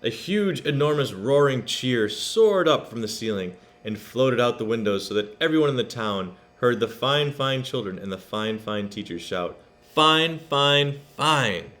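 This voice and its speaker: Male storyteller for children's read-aloud; lively, swooping intonation, emphatic, chatty asides to young listeners. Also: medium pitch, normal speed, few pauses. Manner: animated, emphatic, explains to listeners.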